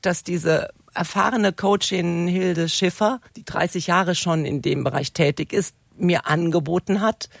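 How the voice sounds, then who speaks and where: A woman's voice: lowish voice, vocal fry, breathy edge, no room sound. A woman in her sixties giving an articulate, reflective personal account as a guest in a radio interview. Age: 60s. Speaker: interview guest, retirement coach.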